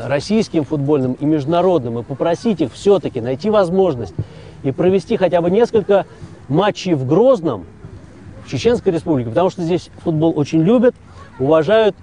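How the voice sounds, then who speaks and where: A man's voice: fairly high pitch, dark tone, slight background noise. A man presenting a travel documentary, speaking in a conversational, persuasive way as narrator and interviewer. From Russia. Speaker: TV presenter and reporter.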